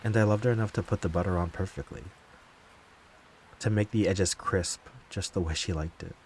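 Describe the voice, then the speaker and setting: A man playing a wounded, betrayed lover turned bitter and vengeful in a slow, deliberate dramatic audio monologue. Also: sits low, darkish tone, slight background noise, rough recording. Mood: tender, wistful. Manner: slow, deliberate, brooding, heavy pauses. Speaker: voice actor.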